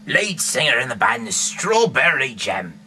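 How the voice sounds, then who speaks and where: An English man reading aloud casually in a rambling, halting way, with off-the-cuff asides. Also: mid-range voice, sing-song, bright, forceful tone. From England. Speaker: amateur Bible reader and rock singer. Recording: clearly noisy homemade recording.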